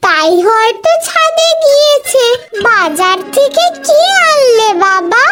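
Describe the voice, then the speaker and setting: A woman's voice: extremely high pitch, sing-song, takes it slowly, over loud music, heavily acted. A woman voicing a bookish, slightly bored young daughter in a cartoon. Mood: bored, curious. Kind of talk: cartoon dialogue.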